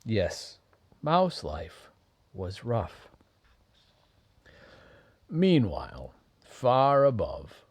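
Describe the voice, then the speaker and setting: A man reading a children's bedtime storybook expressively, with dramatic, drawn-out phrasing and hushed dips. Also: fairly low pitch, slightly rough.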